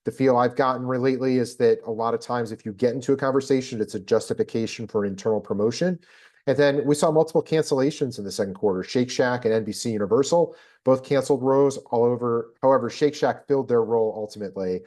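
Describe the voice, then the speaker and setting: A man's voice: medium pitch, quick pace, darkish tone. Man presenting business data, mid-range voice. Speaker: host presenting a job market report.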